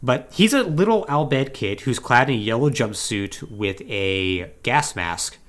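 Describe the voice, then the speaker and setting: A man with a mid-pitched, very lively voice tells a story as a podcast co-host. His delivery is casual and emphatic, swinging up and down as he stresses points and drops in wry asides. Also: unhurried. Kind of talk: casual comedic plot recap, conversational.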